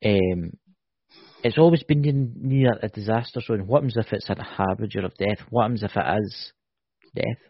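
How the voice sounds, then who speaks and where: A man co-hosting a podcast, husky in tone, tossing out one wild theory after another and checking in with "right?" His mid-range voice sounds dull and lo-fi, captured dry with no room around it. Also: lowish voice, moderate pace, dry recording. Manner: animated, speculative, keeps asking "right?". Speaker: podcast co-host. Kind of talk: casual chat.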